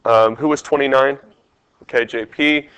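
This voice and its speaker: Congested male teacher, mid pitch, dry close mic, quizzes the class on each step. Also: steady tone, moderate pace, fairly bright tone, smooth, no room sound, muffled recording. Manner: talks through steps, quizzing the class. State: stuffed up, congested. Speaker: math teacher. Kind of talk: test review lesson over screen capture.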